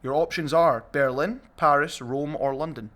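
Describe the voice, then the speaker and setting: A man's voice: on the high side, moderate pace, a bit bright. A man with a mid-range voice hosts a casual podcast. He talks informally with a group and acts as their quizmaster.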